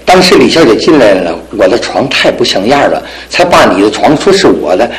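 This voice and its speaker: A man acting in a radio drama as a bumbling, good-hearted bachelor in his thirties, stammering and rambling excitably. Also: medium pitch, a little fast, reverberant, close to an everyday voice, poor audio. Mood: apologetic. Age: 30s.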